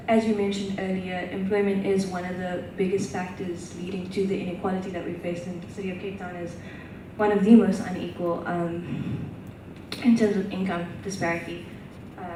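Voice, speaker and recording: A woman student lays out a detailed question step by step from the floor of a conference. Her voice sounds distant from the microphone. It rings through a big echoing hall over steady room noise. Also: fairly low pitch, normal speed. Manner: careful, detailed multi-part questioning. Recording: echoey hall, distant mic, noisy.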